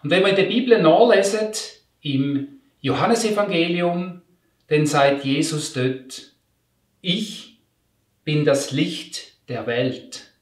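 Youth pastor, slightly high voice, slow and reverent, distant echoey sound. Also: male voice. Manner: calm, deliberate, reverent. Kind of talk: Christmas message with scripture reading. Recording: echoey room, mic at a distance.

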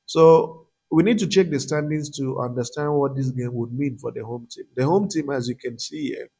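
A man's voice: medium pitch, normal speed, dark tone, slightly rough. A young man with a Nigerian accent, casually talking viewers through his picks over a screen recording, with frequent fillers. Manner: chatty, many "um" fillers, addresses viewers directly. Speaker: betting tips channel host. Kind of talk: casual screen-share commentary.

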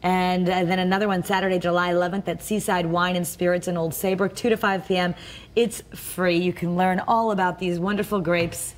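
A woman's voice: fairly low pitch, fairly even intonation, a little fast. A woman hosting a TV talk show, who is bubbly and laughs often as she interviews a guest. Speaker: TV show host. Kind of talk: TV chat interview.

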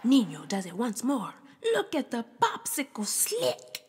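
A woman's voice: on the high side, wildly swooping pitch, unhurried, big-room sound, a little hiss or hum. A woman storyteller reading a children's picture book aloud in a theatrical way, moving between whispers and exclamations.

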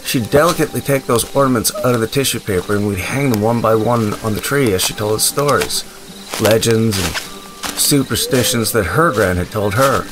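A man's voice: fairly low pitch, moderate pace, close to an everyday voice, rough recording. Man playing a wry wasteland survivor, music behind. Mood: wistful, wry. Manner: conversational, hesitant, dryly humorous. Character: wry, weary male wasteland survivor, sentimental and quick-witted. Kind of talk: audio drama acting with narration.

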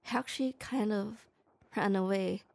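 A woman's voice: mid-range voice, normal speed, vocal fry, a little mellow. A young woman in an ASMR roleplay, playing a shy tomboy who talks hesitantly and stammers, close to the mic. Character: shy tomboy girl, gruff but kind. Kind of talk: ASMR roleplay, speaking to the listener.

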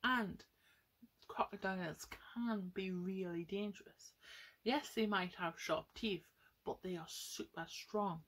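Young woman, children's storyteller, unhurried delivery, husky edge, medium pitch, exclamations and questions to young listeners. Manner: addresses children, exclaiming and asking questions, with hushed asides. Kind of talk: storytelling to children with paper puppets. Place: small room at home, indoors.

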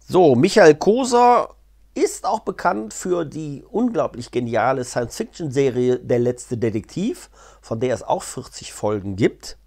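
A man's voice: mid-range voice, big pitch swings, unhurried, vocal fry, mellow. A man gives a casual, unscripted explainer in a chatty, colloquial way with wry asides. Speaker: vlogger and audio drama reviewer. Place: living room, seated on a sofa indoors. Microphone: clip-on lapel mic.

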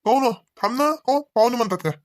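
A man's voice: very high-pitched, animated, fast, no room sound. A man voices a hospitable village householder in a cartoon comedy. He talks in casual, coaxing, colloquial phrases with a Varhadi Marathi accent. The sound is dry, with little room in it. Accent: Varhadi Marathi, Vidarbha. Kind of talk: rural comedy cartoon dialogue.

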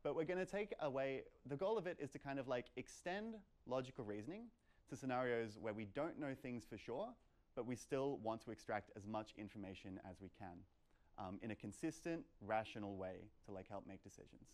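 A young man gives a casual talk at a meetup. His voice sits at an ordinary male pitch, and he sounds playful and chatty as he explains ideas to the room and puts questions to his listeners. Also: a little fast, a little mellow. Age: young adult. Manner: playful, conversational, peppered with "like" and "kind of". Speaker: meetup presenter. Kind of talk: informal live lecture with audience questions. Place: medium-sized lecture room, indoors.

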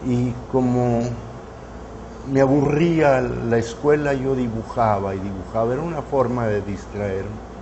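A man in his seventies from Mexico, reminiscing in an interview in his studio, unhurried and full of hesitant fillers. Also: mid-range voice, dark tone, a little hiss or hum, rough recording. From northern Mexico. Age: 70s. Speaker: painter interviewee. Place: artist's studio, indoors. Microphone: lapel mic.

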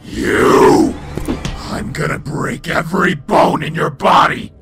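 An actor voicing an enraged, menacing skull-headed beast in a cartoon comic dub, with a harsh snarl. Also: male voice, a little high, fairly even intonation, slow, gravelly. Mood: furious. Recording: loud music behind.

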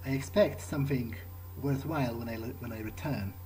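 A man improvising in-character dialogue as a gamer, in a hesitant, low-key way. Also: a little fast, a little mellow, slight background noise, poor audio.

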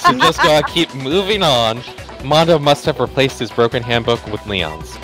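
A man voicing a visual novel playthrough, animated and emphatic as he reads lines and chats with friends. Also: medium pitch, normal speed. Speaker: streamer reading several characters. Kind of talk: voicing a visual novel game while chatting. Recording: game music behind the voice.

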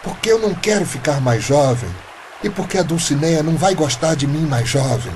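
A man's voice: fairly high pitch, animated, fairly quick, darkish tone, slight background noise, close to an everyday voice. A man with a Brazilian accent playing an earnest, lovesick man of forty in a radio play, melodramatic and pleading. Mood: anxious pleading.

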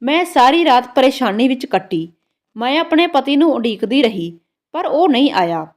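A woman's voice: high-pitched, sing-song, moderate pace. A woman narrating a scripted first-person story in a dramatic storytelling manner.

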